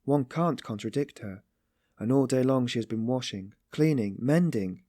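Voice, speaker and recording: A man's voice: mid-range voice, normal speed, dark tone, no room sound, studio quality, pitched above the performer's own voice, natural voice. A man voicing an anguished, meek but passionately compassionate young woman, pleading in breathless exclamations and repetitions for an audiobook story. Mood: sorrowful.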